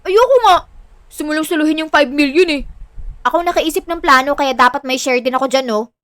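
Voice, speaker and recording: A woman's voice: high voice, a little fast, fairly bright tone, no room sound. A woman playing a money-hungry, sarcastic and bossy younger sister in an audio drama. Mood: indignant, sassy.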